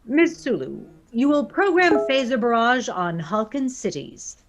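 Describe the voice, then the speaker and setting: A woman's voice: a little high, very animated, a little slow. A woman playing a coldly logical female first officer in a sci-fi fan audio drama, with clipped, formal, commanding delivery. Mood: cold, stern.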